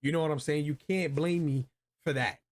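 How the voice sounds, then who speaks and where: A man with a somewhat high-set voice hosts a relaxed review chat about films. His phrasing is informal and full of slang, like talk between friends. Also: moderate pace. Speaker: video review host. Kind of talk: casual movie review chat.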